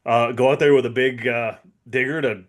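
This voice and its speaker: A man's voice: mid-range voice, steady tone, normal speed. A man co-hosting a podcast, chatting casually in an agreeable, plain-spoken way. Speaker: podcast co-host. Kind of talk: casual chat.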